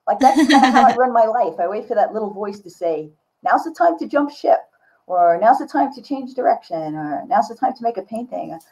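A woman in her sixties chatting casually and expressively on a video call, with a slightly husky voice. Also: on the high side, brisk, poor audio. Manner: expressive, exclaiming. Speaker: guest artist. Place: small indoor studio room with bookshelves.

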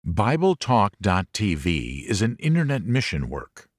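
Deep-voiced male audiobook narrator. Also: huge pitch swings, a little slow, no echo, studio quality. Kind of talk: scripted narration of a religious audiobook.

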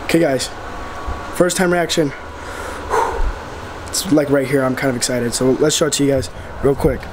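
A young man with a hoarse, raspy voice from a cold, excitedly rambling to a handheld camera as a vlogger, outdoors and in a shop. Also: medium pitch, normal speed, background noise. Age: young adult. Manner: excited, rambling, lots of fillers. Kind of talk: casual vlog talk to camera. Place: outdoors by a house and inside an auto upholstery shop. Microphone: handheld camera at arm's length.

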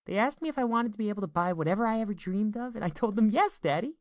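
Guy doing a little girl for an audiobook, way up high, innocent n eager, super bouncy, close dry sound. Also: expressive, fast, no room sound. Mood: excited, innocent. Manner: childlike, bright and eager. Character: excited innocent little schoolgirl, eager to please daddy.

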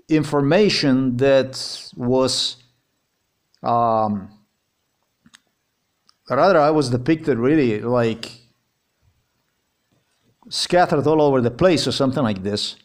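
Heated Slovenian-accented man ranting. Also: medium pitch. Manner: heated, repetitive, swears. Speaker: vlogger. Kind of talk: agitated personal account.

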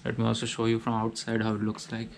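Flat-voiced man giving a room tour. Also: fairly low pitch, quick pace, a little hiss or hum. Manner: plain, matter-of-fact listing of features. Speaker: vlogger giving a house tour. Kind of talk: casual walkthrough narration. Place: small student apartment indoors.

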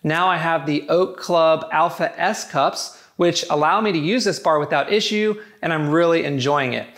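A man's voice: on the high side, moderate pace, fairly bright tone. A man giving a scripted, matter-of-fact review of home gym barbells. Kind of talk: scripted product review narration.

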